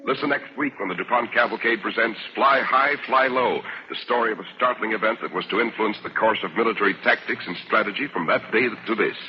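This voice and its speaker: A man's voice: fairly low pitch, fairly quick, hardly any pauses, background noise. An American man announcing and narrating in a formal, scripted 1950s radio style. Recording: muffled old radio broadcast, loud music.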